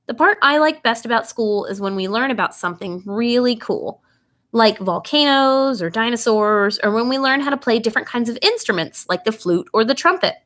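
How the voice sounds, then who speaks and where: A woman reading a children's bedtime story aloud in an expressive, animated way, putting questions to young listeners. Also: a little high, normal speed.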